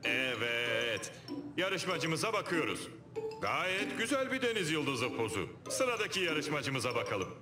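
A man's voice: on the high side, big pitch swings, measured pace. A man voicing a showy mouse museum owner in a cartoon, announcing in a formal, theatrical style. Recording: echoey, music under dialogue.

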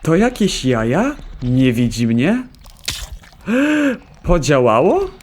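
Someone playing a bewildered, animated everyman. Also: medium pitch, takes it slowly, background noise. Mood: startled, wry. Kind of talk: Polish fan dub of cartoon game shorts.

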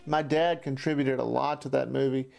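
A man's voice: medium pitch, fairly even intonation, normal speed, vocal fry, loud music behind the voice. A man narrating a scripted look back as a filmmaker.

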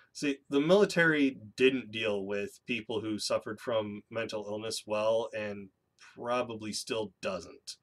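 A man in his thirties talks straight to his webcam from a small room at home, sounding a little stuffy and nasal as he gets over a cold. He speaks at a medium pitch, in a candid, measured way, with an occasional dry joke at his own expense. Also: fairly bright tone. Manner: candid and measured, with dry self-deprecating asides. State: congested from a cold, slightly nasal. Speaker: YouTube channel host. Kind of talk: personal vlog monologue. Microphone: webcam in front of a seated speaker.

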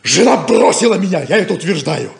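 An actor playing a proud, stubborn, independent small-town deputy sheriff in a 1970s radio play. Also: male voice, high-pitched, very animated, a little fast, vocal fry, exaggerated voice. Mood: defiant. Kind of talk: 1970s Soviet radio theatre acting.

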